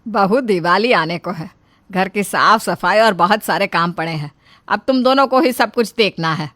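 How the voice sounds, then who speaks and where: A woman voicing a vain, bossy middle-aged mother-in-law, loud and imperious, in a storybook tale. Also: mid-range voice, moderate pace, dry recording, lightly acted. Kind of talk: storybook character acting.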